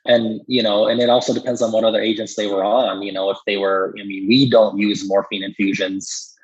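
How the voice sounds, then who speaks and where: A man with a fairly low, slightly husky voice talks like an expert in a podcast conversation. He explains things in a measured, informal way, often adding "you know." The recording is a little dull and lo-fi. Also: little pitch movement.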